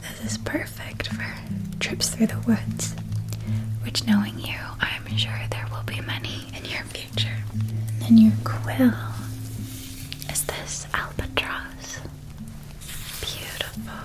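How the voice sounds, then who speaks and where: A woman voicing a gentle, doting witch parent in a soft, breathy whispered ASMR roleplay, tender and softly enunciated. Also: fairly high pitch, steady tone, slow, pauses often, music in the background. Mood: gently admiring. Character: gentle doting witch parent helping a child pack for school.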